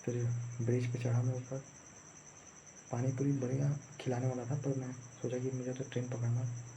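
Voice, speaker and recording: A man's voice: mid-range voice, monotone, moderate pace, vocal fry, dark tone. A young man telling a story casually, in a rambling, repetitive way. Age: young adult. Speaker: vlogger recounting own exam day. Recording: muffled lo-fi audio, faint background noise.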